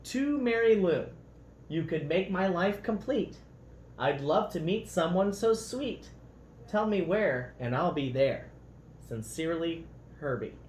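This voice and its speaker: A man plays an earnest, lovestruck slug from a children's storybook. He recites rhyming notes slowly and sincerely, with a lilting, swinging pitch in a roomy echo. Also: expressive, a little mellow. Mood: earnest, hopeful. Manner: sincere, singsong rhyming delivery. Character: earnest, lovestruck male slug writing poems. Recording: roomy echo, faint background noise.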